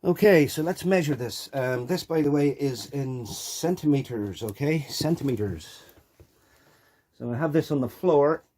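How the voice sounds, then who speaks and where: A man's voice: mid-range voice, lively intonation, measured pace, darkish tone. A man giving a casual, unscripted hands-on demonstration into a phone, explaining and repeating key points. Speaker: video presenter showing a radio collection. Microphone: handheld phone.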